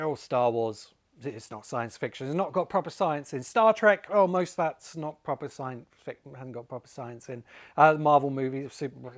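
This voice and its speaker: Male presenter, mid-pitched, for an explanatory video essay; emphatic, deliberate delivery with pointed pauses. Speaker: presenter and writing course teacher. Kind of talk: explanatory video essay, semi-spontaneous lecture.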